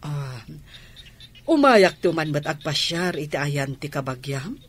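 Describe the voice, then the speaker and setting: An actress playing a trusting, worried wife in a radio drama, with an Ilocano accent. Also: female voice, sits low, very animated, unhurried, breathy edge, heavily acted. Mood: worried, questioning. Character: trusting, worried wife, gently defending her man. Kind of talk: Filipino radio drama acting.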